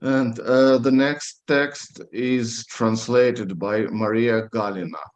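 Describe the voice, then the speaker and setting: A man's voice: mid-range voice, fairly even intonation, takes it slowly. A man with a Ukrainian accent, a native Ukrainian speaker, reading and introducing poetry as a poet at a live reading.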